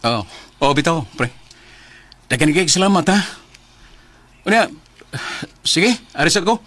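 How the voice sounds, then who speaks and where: An actor with a Filipino accent playing a stubborn, impatient working husband who grumbles and stammers when flustered, in radio drama style. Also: male voice, fairly high pitch, expressive, unhurried, a bit bright. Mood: grateful, friendly. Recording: noisy, with vehicle sound behind.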